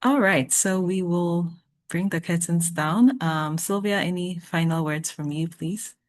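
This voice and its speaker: A woman moderating an online meetup, brisk and cordial as she hands over to speakers. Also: fairly low pitch, no echo. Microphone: video call.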